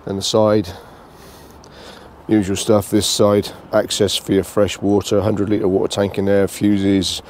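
A British man giving a casual, unscripted walkthrough tour, speaking haltingly and repeating phrases as he goes. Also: lowish voice, monotone, a little mellow, slight background noise. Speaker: vlogger giving a motorhome tour.

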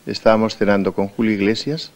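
Andalusian male TV host, 50s, calm probing questions, low quiet voice. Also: a little fast. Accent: Andalusian Spanish. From Andalusia, Spain. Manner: quiet, sparse murmured acknowledgements. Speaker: TV interviewer. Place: TV studio set, indoors.

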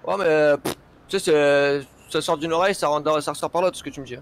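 Fast-talking man with a high voice. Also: steady tone. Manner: insistent, justifying himself. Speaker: game server admin. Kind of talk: casual explaining over game voice chat.

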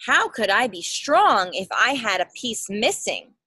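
A woman's voice: mid-range voice, very animated, measured pace, sharp tone, breathy edge, dry recording, full character voice. A woman voicing big, daring storybook creatures who answer with emphatic disbelief. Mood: incredulous. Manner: incredulous, emphatic.

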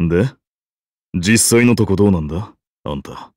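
A man's voice: deep voice, expressive, normal speed, darkish tone, dry recording, studio quality. A man voicing a bold, hearty emperor in a game, with a brash, theatrical and casual way of talking. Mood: teasing. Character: bold, grandiose, hearty emperor and war leader. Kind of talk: game character voice lines.

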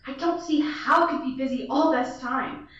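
Fretful invalid woman on the phone, played by a girl; high, bright, echoey and distant. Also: normal speed, dull audio. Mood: anxious. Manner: anxious, pleading, fretful. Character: nervous invalid woman, frightened caller. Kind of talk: student radio play acting.

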